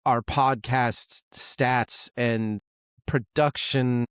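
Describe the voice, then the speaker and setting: A man's voice: medium pitch, steady tone, drawn-out words, smooth, no room sound, studio quality. A man co-hosting a music podcast, chatting casually with sarcastic jokes and swearing. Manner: joking, profane, sarcastic. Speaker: podcast co-host.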